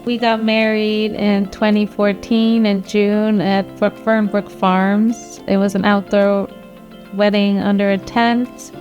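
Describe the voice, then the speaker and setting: A woman in her thirties or early forties from New Jersey, reminiscing conversationally as an interviewee, in a halting way with small restarts. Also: medium pitch, flat delivery, darkish tone, lo-fi sound, faint music behind. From grew up in New Jersey. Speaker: law school alumna, interviewee.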